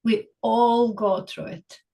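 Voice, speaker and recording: A woman's voice: fairly high pitch, level tone, slow pace, vocal fry, warm tone. A middle-aged woman from Bosnia raised in Serbia, speaking as a psychologist guest in an interview, thoughtful with frequent hesitations and restarts. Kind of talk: interview conversation.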